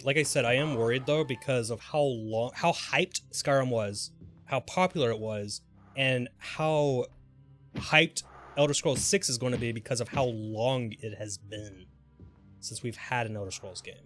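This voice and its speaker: A man's voice: medium pitch, a bit bright. A man chatting casually and informally on a live gaming stream, speculating in a rambling way. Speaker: game livestreamer. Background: background music.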